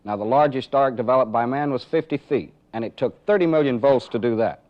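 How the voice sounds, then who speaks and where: A man's voice: mid-range voice, a little fast. Dull-sounding archival male training film instructor. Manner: clear, methodical explaining with rhetorical questions. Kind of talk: instructional narration with live demonstration. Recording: dull, band-limited 1980s training film audio.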